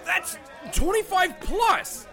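Man playing very high, fretful young gang member. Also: expressive, takes it slowly, vocal fry, pushed and bright, a faint crowd, heavily acted. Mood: alarmed, fretful. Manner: fretful, protesting. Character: worried, conscientious young male gang member. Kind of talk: audio drama acting.

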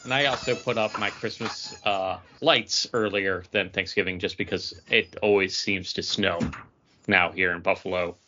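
An American man talks in a bright, forceful voice through slightly muffled, lo-fi audio. He is a podcast co-host chatting casually. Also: low-pitched, fairly even intonation, moderate pace, slight background noise. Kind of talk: casual chat. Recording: dull lo-fi voice recording.

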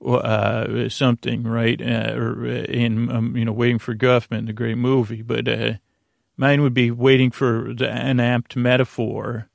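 A man's voice: medium pitch, level tone, no room sound, clean studio sound. A man hosting a bedtime podcast in a meandering monologue, stammering over words and losing his thread as he wanders off topic. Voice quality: gravelly, creaky. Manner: rambling, digressive, loses his thread; halting, stammering word repetitions. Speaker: podcast host. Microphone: close, dry podcast mic.

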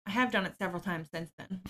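Candid woman with a bright voice. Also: medium pitch, a little hiss or hum. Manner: open and self-deprecating, laughs easily, frequent ums. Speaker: podcast guest. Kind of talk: candid personal storytelling.

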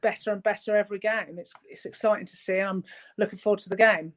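A guest pundit talks keenly about the game in a casual chat. The voice is mid-pitched and restarts phrases often. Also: fairly even intonation, quick pace. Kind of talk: casual interview.

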